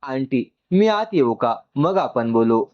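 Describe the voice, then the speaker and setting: A man's voice: medium pitch, expressive, slow, muffled recording. A man voicing a loving, polite young doctor in an audio storybook.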